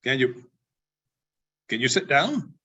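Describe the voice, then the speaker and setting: A man voicing a skeptical old miser with a sharp, emphatic manner in a dramatic storybook reading. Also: medium pitch, sing-song, slow, slightly rough, voice pushed down, heavily acted. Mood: caustic, wary. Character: caustic, skeptical old miser turning fearful. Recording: dull, muffled sound.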